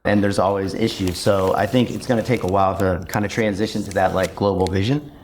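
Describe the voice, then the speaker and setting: A man's voice: low-pitched, fairly even intonation, brisk, darkish tone, slight background noise. A man, a startup founder on a conference panel, speaking casually with lots of filler words. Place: conference hall.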